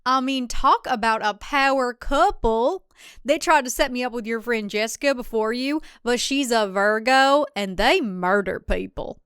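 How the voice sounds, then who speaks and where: A woman's voice: on the high side, unhurried, a bit bright, smooth, no room sound, studio quality, voice pushed up. Woman doing an oblivious, creepily eager oddball man. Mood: excited. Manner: droning, giggly, socially awkward.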